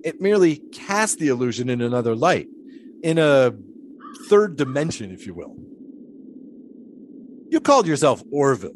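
A man's voice: mid-range voice, big pitch swings, moderate pace, pauses often, slight background noise, natural voice. An actor playing a grandiloquent, philosophical 1920s showman in an audio drama, musing in wordy, theatrical speeches. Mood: lofty, explaining.

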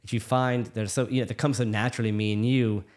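A middle-aged man from the United States, a physician guest telling stories in a casual interview, quick and full of restarts. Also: medium pitch. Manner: fast, animated storytelling with many restarts.

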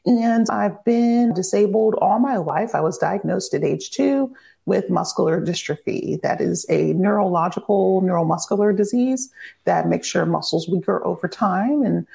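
A woman's voice: mid-range voice, animated, normal speed, creaky, mellow. A woman in her thirties, an author and advocate, telling her life story in a candid, upbeat way as a podcast guest. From Virginia, near Washington DC. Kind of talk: podcast interview.

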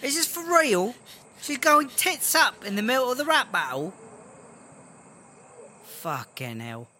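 A man's voice: very high-pitched, very animated, a little slow, heavily acted. A man playing a brash, easily offended English battle rapper in a comedy audio drama, ranting in crude, sarcastic slang. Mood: incredulous.

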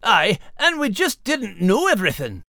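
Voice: mid-range voice, huge pitch swings, normal speed, sharp tone, dry recording, clean studio sound, heavily acted. A performer voicing a cheeky, teasing male dog, in blunt comic banter for a children's story podcast. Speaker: podcast co-host in character.

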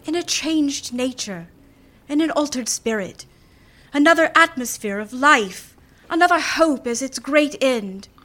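A woman plays a wounded yet resolute young fiancée in a radio play. Her voice is fairly high, and she speaks with earnest, measured sorrow. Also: lively intonation, bright, forceful tone, exaggerated voice. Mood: sad resolve. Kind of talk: live community radio drama acting.

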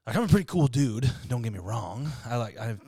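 A man in his thirties with a deep voice, hosting a casual podcast chat in a relaxed, freely swearing way. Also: brisk, husky, dry recording, clean studio sound. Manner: laid-back, swears freely, laughs often. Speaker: podcast host.

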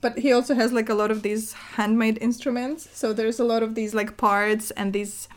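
A woman's voice: fairly high pitch, little pitch movement, normal speed. A young woman from northern Russia on a podcast, chatting casually from her home studio with lots of filler words and restarts. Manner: rambling, many "like" fillers and restarts, laughs often. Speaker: podcast co-host. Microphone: floor mic stand.

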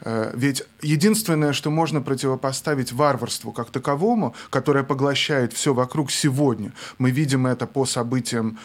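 A young adult man, a film director, talking reflectively as a radio guest, measured with small restarts. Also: medium pitch. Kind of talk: thoughtful interview talk.

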